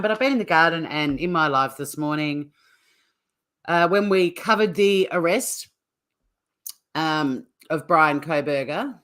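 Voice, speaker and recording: A woman hosting a casual livestream, chatting to viewers in an informal, teasing way. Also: sits low. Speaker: livestream host.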